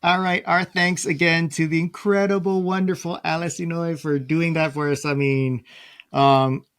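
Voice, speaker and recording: A man's voice: on the high side, flat delivery, moderate pace, fairly bright tone, smooth, no room sound, clean studio sound. A man hosting a podcast, speaking in a casual conversational way with an appreciative, complimentary manner. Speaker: podcast host.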